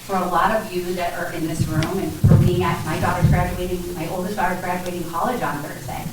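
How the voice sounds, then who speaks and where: Loud low-voiced woman in an echoey hall. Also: level tone, a little fast. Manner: loud, direct. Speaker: realtor. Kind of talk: public panel talk. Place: library meeting room. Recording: echoey room, far from mic, noisy.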